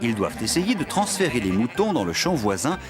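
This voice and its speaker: A man plays a friendly children's cartoon narrator with a hugely animated, swooping delivery. His mid-pitched voice tells a farm tale over prominent music. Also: brisk, natural voice. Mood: storytelling.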